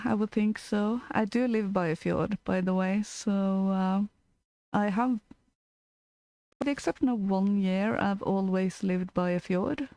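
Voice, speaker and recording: A woman's voice: mid-range voice, gravelly, warm tone, dry recording, rough recording. A Norwegian woman co-hosting a storytelling stream, chatting casually and hesitantly with frequent fillers and small laughs. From Norway. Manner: hesitant, lots of fillers and giggles. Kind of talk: casual chat.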